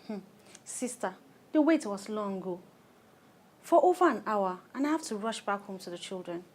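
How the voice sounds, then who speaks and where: A woman's voice: medium pitch, expressive, moderate pace, lightly acted. A woman with a Nigerian accent playing a harried but determined thirty-year-old mother in a radio drama, earnest and plain-spoken. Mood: weary, polite. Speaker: clinic client in an educational radio play.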